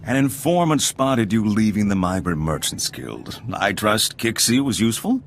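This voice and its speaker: An actor playing a genteel, courteous underworld informant in a video game, speaking with measured politeness. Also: male voice, lowish voice, big pitch swings, a little hiss or hum. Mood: courteous, cautioning. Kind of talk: video game dialogue acting.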